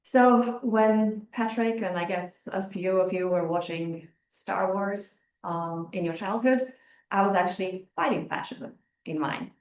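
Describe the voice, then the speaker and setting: A woman's voice: mid-range voice, measured pace, darkish tone. A woman in her forties who grew up in Albania, giving a conversational conference talk with frequent restarts. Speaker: academic panel speaker. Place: lecture room. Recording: echoey room, muffled lo-fi sound.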